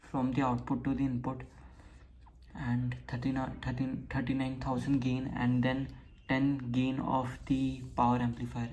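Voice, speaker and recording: A woman giving an informal technical demonstration, speaking in short, plain statements that she often repeats. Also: rumbling low. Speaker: hobbyist radio builder presenting. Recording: noisy home recording with steady hiss.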